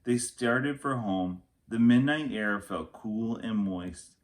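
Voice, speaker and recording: A man's voice: on the low side, slow. A man narrating a storybook for children in the manner of a teacher reading aloud.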